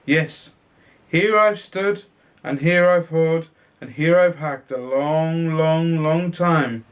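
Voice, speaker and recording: A man playing a slow, patient talking axe. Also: on the high side, clean tone. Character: patient talking magic axe, long waiting.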